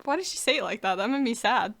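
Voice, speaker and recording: A young woman streamer reacts casually to a video game. Her voice is high and bright, with big swings in pitch. She is excitable and theatrical in how she responds. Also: fast talker, vocal fry, no room sound. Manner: excitable, dramatic, with outbursts and mock wailing. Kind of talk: casual gameplay reaction commentary.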